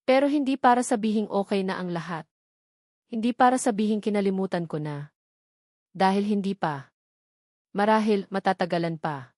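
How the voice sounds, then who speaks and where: A woman voicing a hurt but firm young woman in a romance audio drama, speaking calmly and deliberately. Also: medium pitch, dry recording, studio quality, close to an everyday voice. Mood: hurt, resolute.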